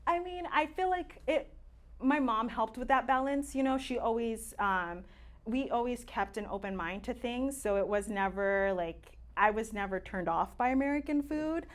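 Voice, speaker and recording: A woman's voice: on the high side, expressive, normal speed. A woman in her late twenties from Tanzania, a native Swahili speaker, chatting casually as a podcast guest with plenty of fillers and easy laughs. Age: late 20s. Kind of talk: casual interview chat.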